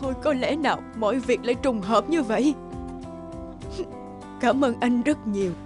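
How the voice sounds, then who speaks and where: A middle-aged cartoon mother voiced by an actress speaks with earnest, expressive feeling. Her voice sits on the high side, and music plays clearly behind her. She comes across as grateful and emotional. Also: moderate pace, lightly acted. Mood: moved, grateful. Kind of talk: animated film dubbing. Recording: loud background music.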